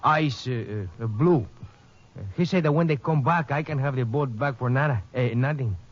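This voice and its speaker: A man doing a halting boatman. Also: mid-range voice, lightly acted. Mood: anxious. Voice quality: halting, broken English with many fillers. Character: nervous Mexican boatman, eager to please. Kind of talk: 1950s radio drama acting. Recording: dull lo-fi old radio recording.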